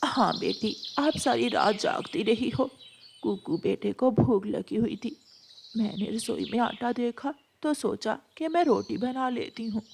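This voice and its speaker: A woman voicing a gentle, affectionate old grandmotherly mother dove in a cartoon. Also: a little high, measured pace, gravelly, slight background noise. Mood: tender, grateful. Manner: affectionate, addressing others as daughter and son.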